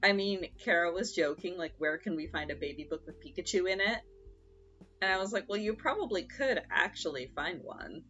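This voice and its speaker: A young woman with a low voice talks casually to her stream, drifting from thought to thought with plenty of fillers. Her nose is stuffed up and her voice sounds gravelly from congestion. Quiet music plays faintly underneath. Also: animated, moderate pace, muffled recording. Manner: chatty, rambling with fillers. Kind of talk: casual chat while sewing.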